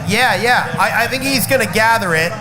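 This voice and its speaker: A man's voice: sits high, normal speed, crowd noise, noisy background. A man who sings in a rock band, talking casually and emphatically, with a slight cough and cold. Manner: outspoken, emphatic, swears. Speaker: guest rock singer. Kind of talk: casual festival chat. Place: festival backstage area. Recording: loud music behind.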